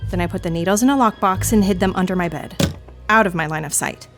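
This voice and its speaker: An actress playing a frazzled female knitter confessing in an audio drama dark comedy, with a theatrical, wry, confiding manner. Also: lowish voice, fast talker, over music, natural voice. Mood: troubled, confiding.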